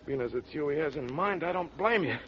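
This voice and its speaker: An actor playing a sick, frightened small-time crook with slangy, street-tough radio gangster talk. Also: male voice, a little high, expressive, a little fast, lightly acted. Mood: sickened, rattled. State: sick, queasy. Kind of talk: 1940s radio gangster acting. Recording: dull, noisy old radio recording.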